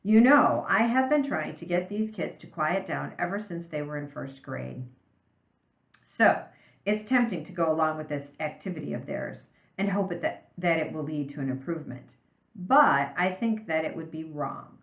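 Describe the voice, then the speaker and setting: Woman as school principal, female, stern, measured, authoritative, storybook reading, roomy recording. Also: low-pitched, animated, creaky, narrow, tinny audio. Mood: firm.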